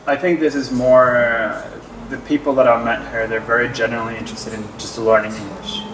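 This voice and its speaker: A man's voice: mid-range voice, little pitch movement, fairly quick, background noise. A man from Norway, a native Norwegian speaker, giving easygoing, earnest interview answers as an actor. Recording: roomy, distant mic, music underneath.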